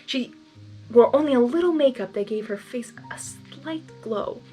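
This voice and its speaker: A woman's voice: sits high, unhurried, mellow, faint music behind. A woman reading a story aloud, with candid, rambling and informal personal asides. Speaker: fan fiction reader and commentator.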